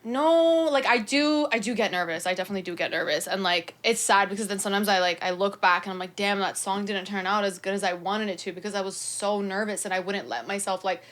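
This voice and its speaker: Young woman podcast guest, talkative and easygoing, mid-pitched. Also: fast, few pauses, a bit bright. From Persian heritage, fluent in Farsi. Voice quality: low-pitched singing voice. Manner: chatty, heavy use of "like", laughs often. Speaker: guest singer-songwriter. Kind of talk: casual podcast chat.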